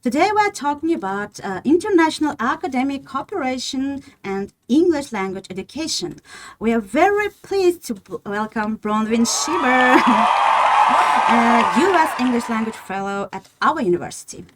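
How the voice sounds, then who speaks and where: A woman's voice: high voice, very animated, moderate pace, heavy background noise. A woman hosting a university podcast, asking questions carefully and hesitantly, with many fillers. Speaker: podcast host. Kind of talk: interview hosting.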